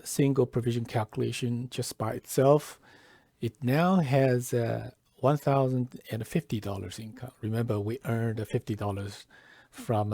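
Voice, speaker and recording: Slow-spoken man with a creaky, mellow voice. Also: mid-range voice, no echo, clean studio sound. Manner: halting, deliberate delivery with pauses mid-phrase. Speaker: software tutorial presenter. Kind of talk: step-by-step tutorial narration, lightly scripted.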